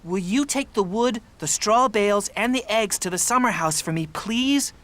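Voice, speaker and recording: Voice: mid-range voice, expressive, moderate pace, fairly bright tone, lightly acted. Eager young cartoon tank engine, acted out. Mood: earnest, eager. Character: eager young male tank engine, cheeky but contrite. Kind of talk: children's cartoon character acting.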